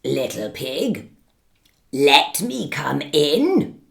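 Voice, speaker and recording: A woman doing a low growling storybook wolf. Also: big pitch swings, creaky, full character voice. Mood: menacing. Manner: low growly drawl, slow and menacing. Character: hungry polite big bad male wolf.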